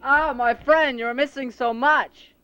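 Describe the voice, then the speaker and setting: A man's voice: extremely high pitch, fairly even intonation, takes it slowly, a little hiss or hum, voice pushed up, heavily acted, rough recording. A man voicing a cheerful old man who speaks eagerly and persuasively in an amateur audio drama. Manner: bright, enthusiastic and persuasive.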